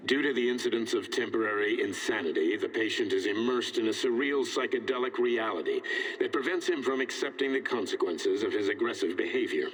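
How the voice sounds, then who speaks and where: An actor playing a composed older scientist in a video game, dictating clinical logs in a measured, formal manner. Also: male voice, fairly low pitch, lightly acted. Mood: cold, clinical. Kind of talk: scripted video game acting, recorded report. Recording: long echoing reverb, played-back recording.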